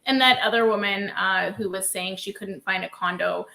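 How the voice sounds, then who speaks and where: A woman with a bright, clear voice co-hosts a casual reaction chat. She talks in a relaxed, chatty way, with plenty of fillers between her points. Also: mid-range voice, moderate pace, poor audio. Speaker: realtor co-host.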